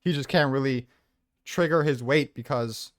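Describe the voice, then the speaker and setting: A man's voice: mid-range voice, normal speed, no echo, studio quality. A man debating casually with a blunt, combative manner and plenty of swearing. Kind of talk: casual debate about a manga ranking.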